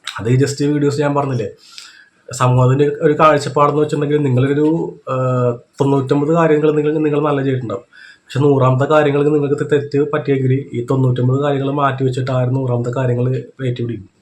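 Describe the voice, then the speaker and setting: A man with a Kerala accent talks through his reactions off the cuff. His delivery is very quick and stays on a fairly flat pitch. He explains as he goes, often checking that the listener has understood. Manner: explanatory, repeats check-in tag questions. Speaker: reaction video commentator. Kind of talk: unscripted reaction commentary.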